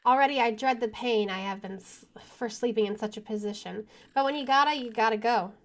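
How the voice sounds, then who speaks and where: Animated woman reading a horror game. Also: on the high side, a little fast. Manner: animated, laughs between lines. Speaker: let's-play streamer. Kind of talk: reading a visual novel aloud with commentary. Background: game music.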